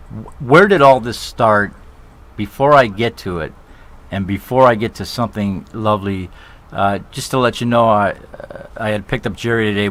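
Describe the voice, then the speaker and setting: Man, talk show host, lowish voice, excitable, repeats words, quick jokey asides. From New York. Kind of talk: live talk show interview.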